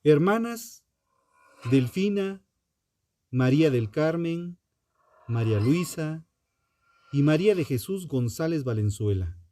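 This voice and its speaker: A man, a podcast host delivering scripted true-crime narration in a dramatic, deliberate way with pauses. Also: a little high, very animated, mellow.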